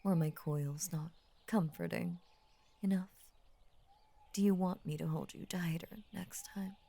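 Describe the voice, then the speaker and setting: A half-snake lamia, played by a woman, doting and unnervingly possessive, in an intimate ASMR roleplay. Her voice is breathy and mellow, often dropping to a whisper with a crackly fry at the edges. She speaks slowly and coaxingly, in formal, soothing phrases. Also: lowish voice, heavily acted. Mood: hurt, apologetic.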